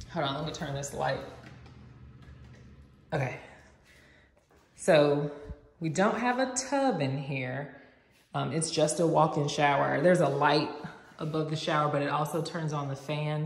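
Young woman, low-pitched and chatty; phone-held tour recorded in bare, echoing rooms. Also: a little slow, creaky, long pauses. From American South. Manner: chatty and enthusiastic, many "like"s. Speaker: vlogger giving a home tour. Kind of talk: casual walk-through narration. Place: empty house with bare rooms, indoors. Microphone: phone camera held while walking. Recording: echoey empty rooms.

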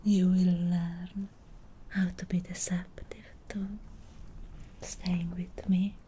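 Girl acting as a sly possessive witch, asmr roleplay, super slow breathy whisper, soft and dark, bit of background noise. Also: fairly low pitch, level tone. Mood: possessive, teasing. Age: young adult.

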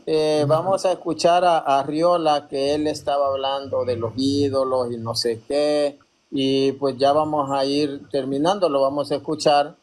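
A man's voice: a little high, flat delivery, measured pace, low-quality recording. Direct questioning host, man. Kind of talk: moderating a debate.

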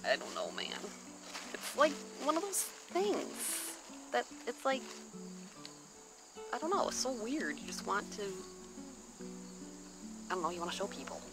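A woman's voice: mid-range voice, huge pitch swings, unhurried, long pauses, music in the background. A woman chatting casually and exclaiming as she shows things off in an informal, gushing show-and-tell outdoors. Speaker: vlogger showing thrift finds. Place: outdoors on a patio or deck at a table.

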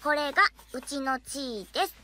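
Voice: high-pitched, normal speed, fairly bright tone, a little hiss or hum. An actor playing an earnest, fond little boy who narrates a children's cartoon in a careful, childlike way. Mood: fond, proud. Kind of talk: children's cartoon narration, diary read aloud. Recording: background music over the voice.

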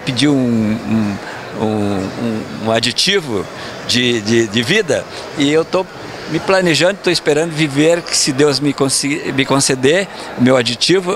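Older man in his seventies, founder, halting speech, handheld mic on a noisy event stage. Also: medium pitch. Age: 70s. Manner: hesitant, repeats words, animated gestures. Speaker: company founder and outgoing president. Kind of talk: event interview. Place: event stage backdrop, indoors. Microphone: handheld mic held by interviewer.

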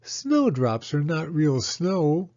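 A man's voice: on the high side, big pitch swings, takes it slowly, darkish tone, dull audio, pitched above the performer's own voice, heavily acted. A man voicing a sweet, eager, curious little girl mouse in a children's storybook, with earnest childlike questioning. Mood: curious, doubtful.

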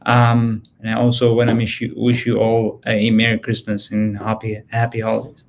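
A man on an investing panel over a video call, speaking haltingly with many restarts as he thanks a team. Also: lowish voice, completely flat delivery, slight background noise. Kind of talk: panel discussion.

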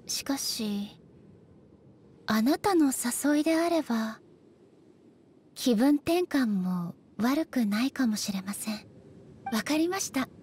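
A woman voicing a composed, serenely proud goddess ruler in an anime game, speaking in measured, formal and polite phrases. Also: fairly high pitch, lively intonation, a little mellow, breathy edge, heavily acted.

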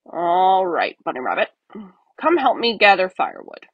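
A woman's voice: fairly low pitch, big pitch swings, normal speed, dry recording, highs cut off. A woman voicing a grumpy male varmint with a nasty temper in a children's storybook, snarling and barking orders. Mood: gruff, unkind. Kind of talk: children's storybook read-aloud.

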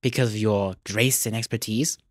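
A man voicing a gentle little male engine with a mild, drily teasing manner in a children's storybook. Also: on the low side, steady tone, normal speed, no echo, clean studio sound, close to an everyday voice. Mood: wry, mild.

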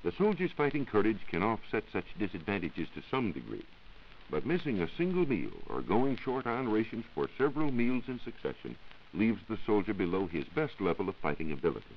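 American male science reporter, vintage 1940s radio; deep, expressive, formal scripted lecture, old recording. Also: brisk, muffled recording, a little hiss or hum.

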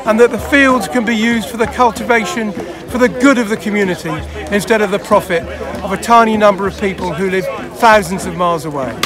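An older man interviewed outdoors at a rally, explaining its purpose in an earnest, measured way, close to the camera. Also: high-pitched. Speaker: event organiser. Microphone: close camera mic. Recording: outdoor crowd and music behind.

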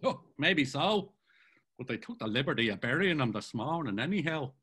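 An actor with an Irish accent playing a jaunty Dublin drinker who trades gossip, read over a video call. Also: male voice, mid-range voice, sing-song, normal speed, fairly bright tone, husky. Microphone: video call webcam.

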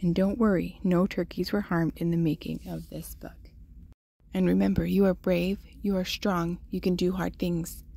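A woman hosting a children's read-aloud, telling a picture-book story in an expressive, deliberate way for young listeners. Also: lowish voice, creaky, husky. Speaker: host reading picture books aloud for children.

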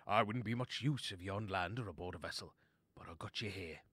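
A man's voice: low-pitched, a little fast, fairly bright tone, slightly rough, no room sound. Man doing a friendly, matey giant sea lion. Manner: matey, kindly.

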